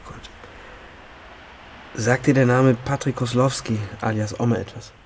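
An actor playing a cautious, evasive prosecutor of about forty, speaking intimately and hesitantly in a naturalistic radio drama. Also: male voice, medium pitch, steady tone, unhurried, a little mellow, close to an everyday voice. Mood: guarded, confiding. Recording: clearly audible background ambience noise.

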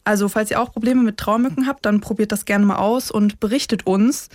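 A woman chatting casually as a podcast co-host, informal and quick to laugh. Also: medium pitch, fairly even intonation, dry recording, clean studio sound. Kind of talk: casual chat between co-hosts.

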